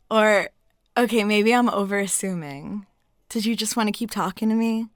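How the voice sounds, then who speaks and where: A woman playing a flirty, lonely, talkative waitress in an intimate roleplay, chatting softly and casually and sometimes dropping near a whisper. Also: mid-range voice, unhurried, dry recording, studio quality, lightly acted. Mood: playful, flirty. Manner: soft, rambling, giggly, drops into near-whispers. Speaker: audio roleplay performer.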